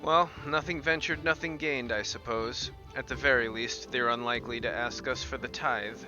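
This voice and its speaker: A man's voice: medium pitch, fairly even intonation, normal speed, dull audio, over music, lightly acted. A man voicing an eager, chatty young woman adventurer while reading fantasy game dialogue aloud. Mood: eager, musing.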